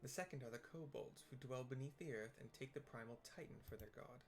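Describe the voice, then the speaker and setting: A man's voice: mid-range voice, brisk, vocal fry. A man narrating a game playthrough, reading story dialogue aloud and describing the scenes. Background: game music.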